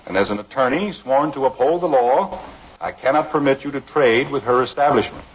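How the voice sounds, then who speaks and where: An actor playing an earnest, stern, principled middle-aged American father in a measured, lecturing 1950s radio drama style. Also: mid-range voice, husky, dull audio, lightly acted. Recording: noisy vintage 1950s radio broadcast.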